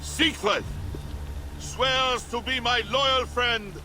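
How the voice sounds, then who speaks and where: A man's voice: extremely high pitch, fairly even intonation, very slow, background noise, heavily acted. An actor playing an imperious, brittle Frankish king in a video game cutscene, haughty and commanding. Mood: formal.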